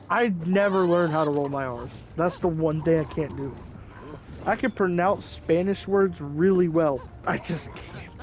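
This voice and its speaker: Rambling young man with a slightly high voice. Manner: rambling, many "like" fillers. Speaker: gaming video host. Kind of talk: casual let's-play commentary. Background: faint game music.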